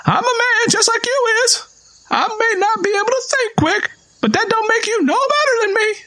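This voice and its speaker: A shrill, wounded Southern father. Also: expressive, normal speed, bright, forceful tone, heavily acted. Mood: defiant, hurt. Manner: snarling, pleading.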